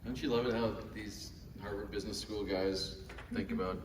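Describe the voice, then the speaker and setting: A man's voice: lowish voice, fairly even intonation, normal speed. A middle-aged man from Texas with an American accent, giving an informal, wry keynote speech. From Houston, Texas, United States. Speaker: keynote speaker. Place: windowless indoor conference room. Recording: distant mic, faint noise, room ambience.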